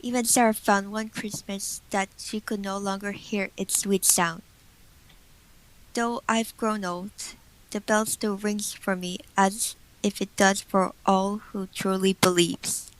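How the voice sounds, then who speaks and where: A woman's voice: mid-range voice, little pitch movement, slow, low-quality recording. A teenage girl reading scripted storybook narration for a school radio play.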